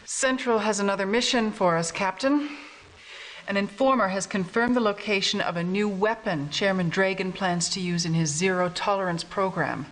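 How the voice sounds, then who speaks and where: A woman playing a businesslike resistance commander in a video game cutscene, speaking in a formal, measured way. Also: medium pitch, fairly bright tone, natural voice, low-quality recording. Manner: formal, measured, matter-of-fact. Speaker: military commanding officer.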